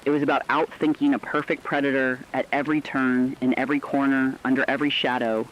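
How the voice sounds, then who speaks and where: A muffled, low-fidelity track carries a man's narration at a middling pitch. He reads dramatic fiction from a script, serious and measured throughout. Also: little pitch movement, a little hiss or hum.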